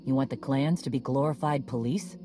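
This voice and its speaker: A woman playing a gruff, commanding warrior clan leader in a video game, talking bluntly and with authority. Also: extremely low pitch, fairly quick. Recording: music behind the voice.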